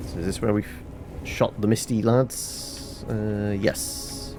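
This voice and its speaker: A man's voice: lowish voice, steady tone, slow pace, over music. A man giving casual, chatty video game commentary, playfully thinking aloud to himself.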